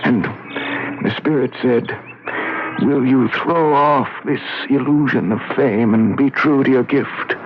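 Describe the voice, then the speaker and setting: An actor playing a tormented, weary man who claims to be possessed, speaking haltingly in a 1970s radio drama. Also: medium pitch. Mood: haunted, reverent. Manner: halting, weary, pausing mid-sentence. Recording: muffled noisy vintage radio broadcast.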